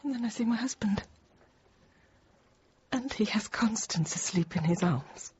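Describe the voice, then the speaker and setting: An actress playing an anxious, tender thirty-year-old infant teacher, confiding her account in halting, reflective phrases in a radio drama. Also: mid-range voice, expressive, darkish tone, slightly rough. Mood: tender, tired. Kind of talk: radio drama monologue, intimate first-person narration. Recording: dull radio sound with faint noise.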